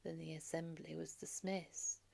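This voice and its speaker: A woman reading a children's storybook aloud in an expressive, narrating style. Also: low voice, unhurried, gravelly. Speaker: storybook reader.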